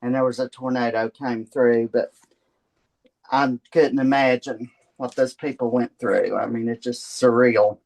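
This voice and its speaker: A man chatting casually in a conversation, halting and letting phrases trail as he goes. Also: medium pitch, fairly even intonation, vocal fry, darkish tone, poor audio. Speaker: participant in the conversation, stage performer. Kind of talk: casual chat.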